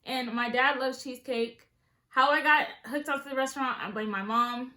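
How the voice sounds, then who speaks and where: Woman, twenties, from Southern California; bright, sassy vlog chatter in an echoey room. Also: high-pitched, steady tone, moderate pace. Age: 20s. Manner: chatty, sassy, playful asides. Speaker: beauty vlogger. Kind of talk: casual chat.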